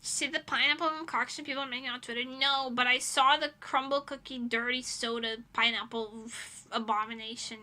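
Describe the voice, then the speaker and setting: A woman from Europe chatting casually on a livestream in an animated, dramatic manner. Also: high voice. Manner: animated, dramatic exaggeration, swearing. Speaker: livestreamer, VTuber.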